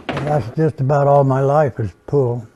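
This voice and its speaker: A man's voice: mid-range voice, fairly even intonation, measured pace, very noisy. A man in his nineties from the United States giving short, casual interview answers. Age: 90s. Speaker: pool player being interviewed.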